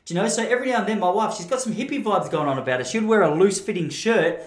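A roomy, reverberant recording of an Australian man with a voice pitched a little high. He hosts like a storyteller doing a comic monologue. His delivery rambles, often breaking off and restarting as he goes. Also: animated, rapid-fire. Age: adult, married. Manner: rambling, self-interrupting, acts out dialogue. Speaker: podcast host. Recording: roomy, echoing room sound.

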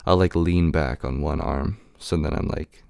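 Young man, very deep, gravelly fry, smooth resonant tone, halting speech, dry sound. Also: a little mellow, no echo. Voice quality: raspy and deep right now. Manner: halting, many "um" and "like" fillers. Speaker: rapper. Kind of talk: casual interview answers.

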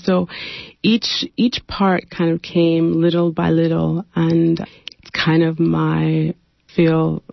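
A young adult woman poet from Nigeria, talking in a radio interview with thoughtful pauses and fillers. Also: low voice, gravelly, no room sound. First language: Igbo. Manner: raised, pointed delivery when reading poetry. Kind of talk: radio interview, then poetry reading. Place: radio studio. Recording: muffled dry radio studio sound.

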